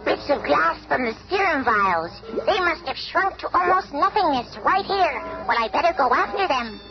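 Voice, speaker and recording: An actor playing a plucky, righteous superhero adventurer, excited and declamatory, in a radio serial. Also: sits high, moderate pace. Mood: alarmed, determined. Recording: muffled radio recording with loud music.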